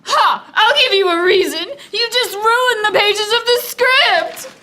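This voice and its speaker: An actress playing an unhinged, scheming, melodramatic sister in a comedy radio serial, theatrical and excitable. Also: female voice, extremely high pitch, unhurried, slight background noise, exaggerated voice. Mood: gloating, manic. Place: theater stage, performed live.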